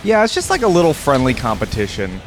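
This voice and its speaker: A man's voice: medium pitch, huge pitch swings, quick pace, soft background music. A man hosting a reaction video with casual, conversational commentary, thinking aloud and qualifying his opinions as he goes.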